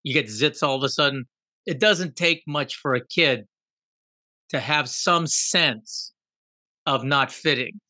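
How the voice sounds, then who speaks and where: A man in his fifties from Guyana, telling a personal story in an animated, reflective, self-deprecating way. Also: mid-range voice, slow pace, pauses often, no room sound. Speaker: guest.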